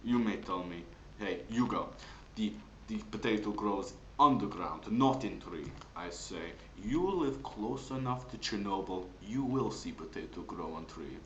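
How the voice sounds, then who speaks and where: Man doing a slow, earnest Russian peasant. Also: lowish voice, expressive, reverberant, muffled recording, slight background noise. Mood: wistful, earnest. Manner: slow, broken grammar.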